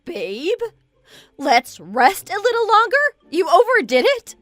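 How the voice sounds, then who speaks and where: Furious betrayed wife, acted by a woman, high-pitched. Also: expressive, unhurried, fairly bright tone, dry recording, soft background music. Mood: sarcastic, angry. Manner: accusing, sarcastic. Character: betrayed wife, furious, scornful, confronting cheating husband. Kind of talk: dramatized audio story acting.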